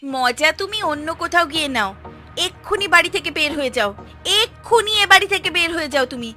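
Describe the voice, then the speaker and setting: A woman's voice: extremely high pitch, animated, fairly quick, pushed and bright, soft background music, full character voice. A woman voicing a fretting, scolding village housewife in a cartoon. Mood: indignant. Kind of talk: cartoon voice acting.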